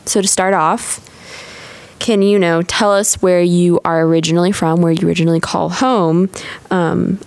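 A young woman hosts a campus podcast in a chatty, encouraging way, full of fillers. Her fairly low voice has a gravelly fry, recorded close and dry. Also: a little mellow, dry recording. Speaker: podcast host. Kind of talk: casual podcast hosting.

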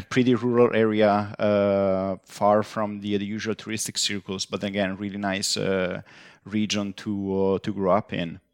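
A man's voice: lowish voice, little pitch movement, measured pace, smooth, no echo, studio quality. A man in his thirties from Italy, speaking in a podcast interview with frequent hesitations and restarts. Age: 30s. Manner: hesitant, many uh fillers and restarts. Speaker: guest.

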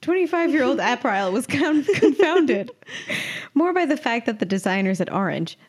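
A woman's voice: high-pitched, big pitch swings, normal speed. A woman in her twenties co-hosting a podcast, giggly and self-mocking as she chats and reads her own comic story. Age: 20s. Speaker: podcast co-host and writer. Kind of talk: casual banter and reading own comic story aloud.

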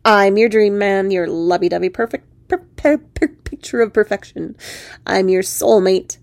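A woman's voice: medium pitch, normal speed, no echo, close to an everyday voice. A woman voicing a teasing, flirtatious male frog prince in a storybook tale, with theatrical, mock-courtly manners. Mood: grandly wooing.

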